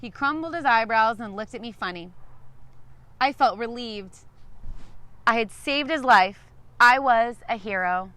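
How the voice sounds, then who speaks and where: A woman reading a children's picture book aloud expressively, like a teacher, with deliberate, emphatic phrasing. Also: on the high side, lively intonation, pushed and bright, no room sound.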